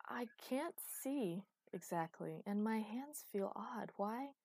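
A woman's voice: mid-range voice, expressive, slow, a little mellow, husky, dry recording, studio quality, voice pushed up, exaggerated voice. A woman voicing an anguished, angry warrior man with a remade metal body in a storybook narration. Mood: confused.

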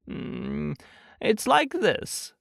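A narrator voicing a slow, earnest, anxious male toy bear who muses hesitantly in a children's storybook. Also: medium pitch, very animated, gravelly, fairly bright tone, no echo, clean studio sound, exaggerated voice. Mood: pondering, earnest. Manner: hesitant, deliberate, drawn-out musing.

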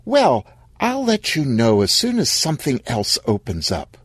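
A man voicing a chirpy, smugly corporate woman from human resources in a story, bright, singsong and condescending. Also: medium pitch, wildly swooping pitch, a little slow, husky, dry recording, studio quality, voice pushed up, heavily acted. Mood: bright, patronizing.

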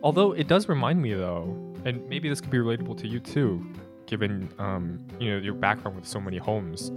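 A man's voice: lowish voice, animated, fairly quick, music in the background. A young man from the United States co-hosting a podcast, talking in a thoughtful, hesitant way with many restarts and small laughs. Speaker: podcast co-host. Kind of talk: casual conversational podcast.

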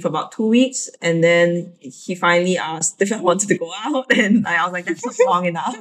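A woman in her thirties with a Singaporean accent, telling personal stories casually as a guest. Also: on the low side. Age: 30s. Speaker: interview guest.

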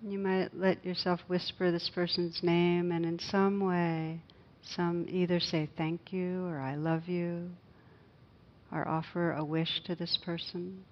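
A woman meditation teacher speaks very slowly and gently, leaving long pauses as she guides a loving-kindness practice. Her voice sits on the low side and is hushed. The recording is a little dull and muffled. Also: level tone.

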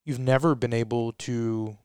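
A man's voice: mid-range voice, fairly even intonation, a little slow, a little mellow, smooth, no room sound, clean studio sound. A young adult man co-hosting a casual talk show, chatty and rambling, laughing at himself now and then. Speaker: co-host. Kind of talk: casual chat.

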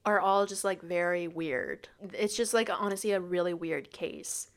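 A woman's voice: fairly low pitch, fairly even intonation, normal speed. A woman hosting a true-crime podcast, reading a prepared script about the case and slipping into casual "like" fillers when she chats. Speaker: podcast host and presenter.

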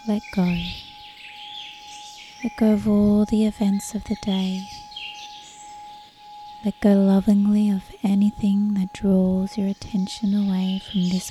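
A woman narrating a guided meditation in a soothing, measured manner, with long pauses and a soft, at times breathy voice. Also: medium pitch, a little hiss or hum. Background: soft meditation music with birdsong.

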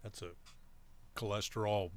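A man's voice: fairly low pitch, steady tone, a little slow. A man co-hosting a casual chat, talking in a conversational way with short reactive comments. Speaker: talk show co-host.

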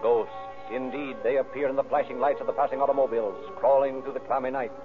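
A man's voice: mid-range voice, steady tone, fast talker, music in the background. A man announcing and narrating in formal, theatrical, emphatic phrasing, in 1930s radio style. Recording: tinny old radio recording.

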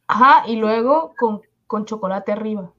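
A woman's voice: mid-range voice, normal speed, muffled recording. A woman with a Mexican accent chatting casually on a live stream in an informal, colloquial way.

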